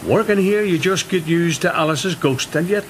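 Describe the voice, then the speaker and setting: A man's voice: high voice, normal speed, slight background noise, natural voice. An actor in a radio drama playing a chatty mill foreman who tells ghost stories. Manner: chatty, storytelling. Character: middle-aged foreman, friendly storyteller. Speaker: Mister Jennings, mill foreman.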